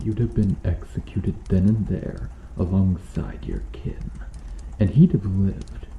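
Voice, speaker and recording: A cruel medieval knight played by a man, low in pitch and very slow in delivery. He is brooding, vengeful and menacing, speaking in formal, old-fashioned phrases as a dramatic ASMR roleplay. The sound is notably roomy. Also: gravelly, heavily acted. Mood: accusing, probing. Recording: muffled, roomy sound with background noise.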